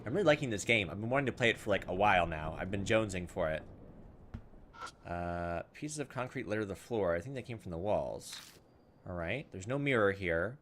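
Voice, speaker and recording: A man doing casual gameplay commentary, thinking aloud in an informal, self-deprecating way and reading game text out loud. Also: low voice, expressive, fairly quick, slight background noise. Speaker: gaming video host.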